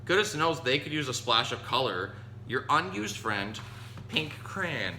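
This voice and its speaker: A man acts out an indignant storybook pink crayon, sassy and demanding. The voice is forceful and very animated, in a roomy space with light noise. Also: medium pitch, unhurried, exaggerated voice. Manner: confrontational, sassy. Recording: roomy echo, some noise.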